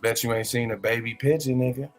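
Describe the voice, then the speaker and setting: A man's voice: medium pitch, fairly even intonation, quick pace, soft background music. A man co-hosting a podcast, telling stories in slangy, laid-back casual banter. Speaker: podcast co-host.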